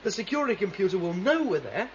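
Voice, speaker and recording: High-voiced, confident rebel leader. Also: male voice, big pitch swings, fast. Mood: confident, scheming. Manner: emphatic, explanatory. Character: confident, idealistic male rebel leader with bold schemes. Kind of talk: 1970s science fiction radio drama acting. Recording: dull vintage radio recording, faint noise, water.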